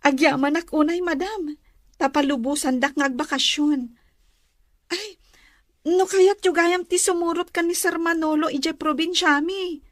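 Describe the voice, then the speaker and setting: A woman's voice: extremely high pitch, fairly even intonation, unhurried, dry recording, clean studio sound. A woman playing a polite, eager young student from the countryside in a radio drama. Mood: eager, grateful. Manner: deferential, eager.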